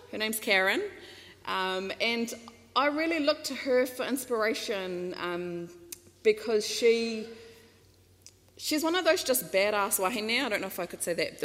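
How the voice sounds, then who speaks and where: A woman with a New Zealand accent gives a relaxed talk at a mic stand, her voice sitting in a middle register. She speaks in a chatty way, laughs easily, and trails into ums and restarts. Long reverb from the hall hangs behind her words. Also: mid-range voice. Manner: chatty and warm, laughs often, many fillers and restarts. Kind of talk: casual public talk with a slideshow. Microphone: microphone on a stand.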